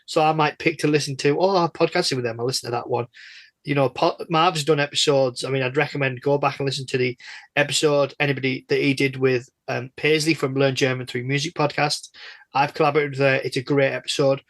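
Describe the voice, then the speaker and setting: A man's voice: mid-range voice, steady tone, fast talker, a bit bright. A man hosting a casual podcast interview, chatting in a rambling, jokey and self-deprecating way. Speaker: guest host and interviewer of a podcast.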